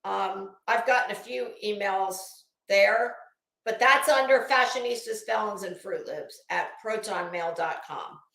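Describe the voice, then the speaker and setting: Female livestream host: high, bright voice, unhurried delivery, scornful and sarcastic, casual commentary straight to viewers, echoey room. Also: creaky. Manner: blunt, sarcastic, scornful, swearing freely.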